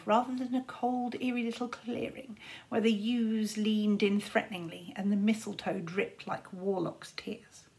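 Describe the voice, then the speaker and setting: A woman in her thirties reading a storybook aloud to children, deliberately and expressively, like a teacher. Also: fairly high pitch, fairly bright tone, low-quality recording. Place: small room at home, indoors.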